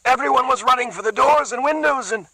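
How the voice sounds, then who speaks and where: A man's voice: very high voice, pushed and bright, no room sound, voice pushed up, full character voice. A man doing a cornered streetwise young tough. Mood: tense. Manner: slangy, fast-talking, playing innocent.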